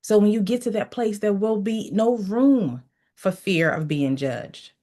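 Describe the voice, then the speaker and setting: A woman's voice: medium pitch, unhurried, dark tone. A woman coaching in a motivational teaching session, preaching with repeated phrases and telling listeners to write key lines down. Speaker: mindset coach.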